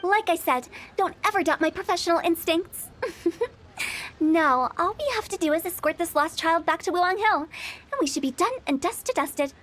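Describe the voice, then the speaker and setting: Mischievous young funeral director. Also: female voice, very high-pitched, expressive, moderate pace, fairly bright tone, slight background noise. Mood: teasing. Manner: teasing, self-assured, giggly. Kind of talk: video game voice acting.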